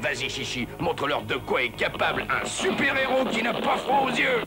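A bragging, dim-witted cartoon pig hero. The voice is fairly high and creaky, excitable and animated. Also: male voice, moderate pace. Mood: theatrical menace. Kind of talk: French cartoon dub. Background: loud music.

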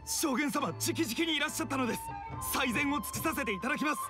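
A woman's voice: sits high, fast talker, natural voice. A woman playing a young, deferential guard in a Japanese video game, formal, nervous and eager to please. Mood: flustered, eager. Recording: voice over game music.

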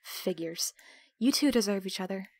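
A woman's voice: mid-range voice, lively intonation, moderate pace, no echo, lightly acted. An actress playing a defiant, foul-mouthed female captive in an audio drama, biting and sarcastic. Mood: scornful.

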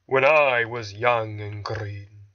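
A man's voice: on the low side, fairly even intonation, slow, fairly bright tone, muffled recording, voice pushed down. A man voicing a proud, grumpy, impatient steam engine in a pompous, crotchety storybook manner. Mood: pompous reminiscing.

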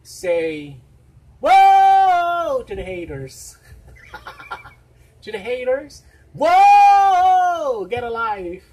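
A middle-aged man from the Philippines with a Filipino accent, excitedly reacting to a music video, laughing and whooping. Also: very high voice, drawn-out words, long pauses, slight background noise. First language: a Philippine language other than Tagalog. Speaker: reaction vlogger. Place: small room indoors. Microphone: webcam with headphones worn. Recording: distant mic with song playing behind. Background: loud pop music from the video.

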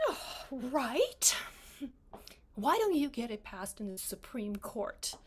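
An actress as a high-voiced, volatile newlywed wife. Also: female voice, sing-song, slow, husky, full character voice. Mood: mocking. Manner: dramatic, emotional outbursts, repeats words. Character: volatile, tearful, stubborn young newlywed wife. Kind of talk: stage comedy scene acting.

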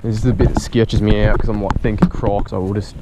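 A man's voice: fairly low pitch, steady tone, quick pace. A young Australian man chatting casually in laid-back slang as he vlogs a fishing trip outdoors. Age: young adult. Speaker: fishing vlogger. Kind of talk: casual vlog chat while fishing. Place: outdoors on beach and creek bank. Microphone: chest-mounted action camera. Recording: noisy outdoor action camera, wind.

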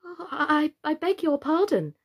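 A woman's voice: sits high, sing-song, measured pace, a little mellow, pitched above the performer's own voice. A woman voicing a polite, eager young girl in a storybook, light and girlish, with an earnest, pleading manner. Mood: humble, pleading. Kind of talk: children's storybook character reading.

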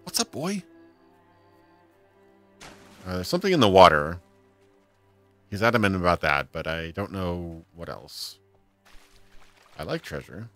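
Deep-voiced man chatting while gaming. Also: big pitch swings, measured pace, long pauses, pushed and bright. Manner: chatty, laughs often, reads chat aloud. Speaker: livestream gamer. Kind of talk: casual let's-play chat. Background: faint game music.

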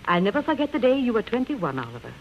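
A faded grande dame of the stage in an old radio play. Her delivery swings widely in pitch, wry and grandly theatrical. The recording is muffled, with clear background noise. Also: very animated, fast talker. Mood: wistful fond. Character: aging faded stage star, witty, grand, theatrical lady. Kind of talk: 1930s radio acting. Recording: dull, noisy 1930s radio broadcast.

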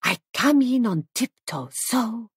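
Woman playing a sly, theatrical elderly foreign gentleman. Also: on the high side, lively intonation, slightly rough, no room sound, clean studio sound, voice pushed up, heavily acted. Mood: sly amusement. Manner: theatrical, insinuating, drawn-out phrases. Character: elderly foreign man, sly, gleeful, menacingly courteous.

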